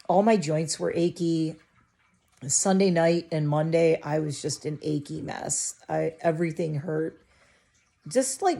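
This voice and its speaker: Low gravelly chatty middle-aged woman. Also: dark tone. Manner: rambling, chatty, self-interrupting. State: stuffy, scratchy throat. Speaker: vlogger. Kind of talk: casual chat to camera. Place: her living room, indoors.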